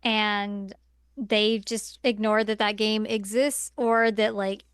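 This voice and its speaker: A woman's voice: mid-range voice, level tone, takes it slowly, fairly bright tone, clean tone, dry recording, clean studio sound. A woman co-hosting a podcast in casual film-review chat, talking conversationally and peppering sentences with "like". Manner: conversational, filler-heavy with frequent "like". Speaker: podcast co-host.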